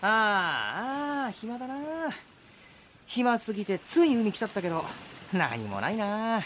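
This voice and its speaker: A woman's voice: mid-range voice, big pitch swings, a little slow, fairly bright tone, slight background noise. A woman playing a sceptical, grumbling young man, the blunt straight man of a comedic radio drama. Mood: bored. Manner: blunt, grumbling retorts in casual male speech. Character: bored, sceptical ordinary young guy, exasperated straight man.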